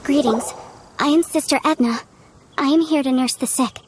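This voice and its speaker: A woman voicing a calm, polite nursing sister in a video game, composed and matter-of-fact. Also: high-pitched, moderate pace, a little hiss or hum, lightly acted. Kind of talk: video game character acting.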